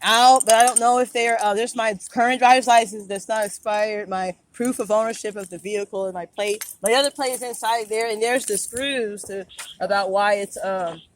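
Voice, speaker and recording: A woman's voice: mid-range voice, fairly even intonation, normal speed, a little hiss or hum. A woman talking from inside her car into a phone recording, anxious and rambling, stammering and repeating herself deferentially. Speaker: driver recording a police stop.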